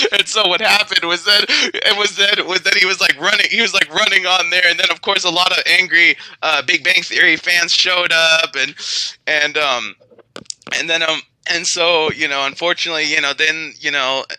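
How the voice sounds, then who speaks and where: A man with a fairly high, strikingly bright and forceful voice spins an improvised tale. He rambles and repeats himself in an excitable way. The sound is dry and close. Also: no room sound, poor audio. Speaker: storyteller. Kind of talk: improvised spoken storytelling in character as a magical bear.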